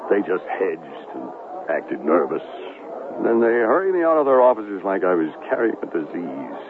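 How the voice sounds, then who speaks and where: A man's voice: low-pitched, sing-song, moderate pace. An actor playing a vain, wheedling, self-pitying television newsman, theatrical and boastful, in a 1970s radio drama. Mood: aggrieved, pleading. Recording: tinny, hissy 1970s radio broadcast.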